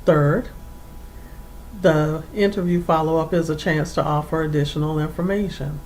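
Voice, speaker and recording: A career coach giving instructional advice in a formal, deliberate way with measured phrasing. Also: steady tone, a little mellow, rough recording. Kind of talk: instructional advice to camera, semi-scripted. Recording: clear background noise with low rumble.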